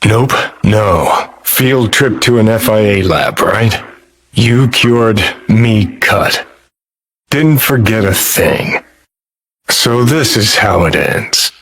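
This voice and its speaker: Jaded american rockerboy ghost for a video game, lowish voice, really slow sarcastic drawl, sweary. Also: male voice, lively intonation, fairly bright tone, breathy edge, heavily acted. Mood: bitter, resigned. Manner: sardonic, slangy, world-weary drawl. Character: cynical, foul-mouthed rockerboy ghost haunting a mercenary's head. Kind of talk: video game character dialogue.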